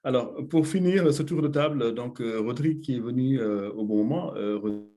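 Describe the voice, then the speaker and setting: A man's voice: medium pitch, a little fast, mellow. A man moderating a panel discussion, hesitant and full of filler sounds.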